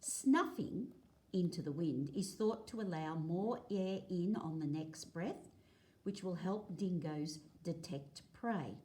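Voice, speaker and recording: Low, slow-spoken woman teacher. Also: lively intonation, dark tone. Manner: measured, explanatory, phrase by phrase. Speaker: teacher reading aloud to pupils. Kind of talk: read-aloud lesson of a non-fiction picture book. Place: indoors at a table, filming a book.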